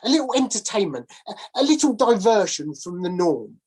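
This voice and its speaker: A woman's voice: mid-range voice, very animated, normal speed, darkish tone, breathy edge, pitched above the performer's own voice. A woman voicing a shabby, down-on-his-luck male ex-sailor who coaxes and wheedles. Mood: wheedling.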